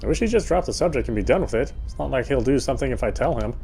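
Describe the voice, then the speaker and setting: A man's voice: mid-range voice, animated, racing, creaky, mellow, soft background music. A man reading visual novel narration aloud expressively, with casual asides.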